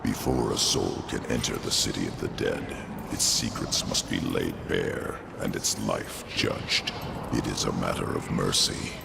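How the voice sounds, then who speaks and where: A gravelly ancient soul-judge. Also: male voice, a little slow, noisy background, exaggerated voice. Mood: solemn. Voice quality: gravelly, very deep. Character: ancient male judge of lost souls, stern and weary. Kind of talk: game cutscene voice acting.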